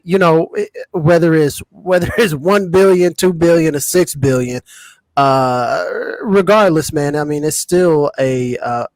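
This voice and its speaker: A man's voice: medium pitch, no echo. A man co-hosting a podcast, chatting casually in a laid-back, rambling, reflective way. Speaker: podcast co-host. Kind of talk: casual chat.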